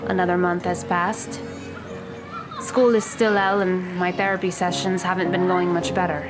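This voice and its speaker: A woman playing a guarded high school girl who speaks in precise, matter-of-fact and formal words in an audio drama. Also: level tone, moderate pace, music in the background, lightly acted, low-quality recording. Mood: sombre, reflective.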